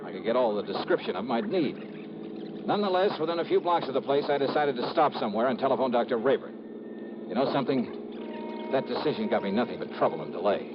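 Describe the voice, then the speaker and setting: Guy acting hardboiled american radio detective, blunt, talks really quick, old muffled radio sound. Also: medium pitch, background noise, natural voice. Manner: blunt, clipped, hard-boiled. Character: brisk, tough, no-nonsense male insurance investigator. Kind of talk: 1950s radio drama acting, first-person narration and dialogue. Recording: muffled vintage radio recording with music.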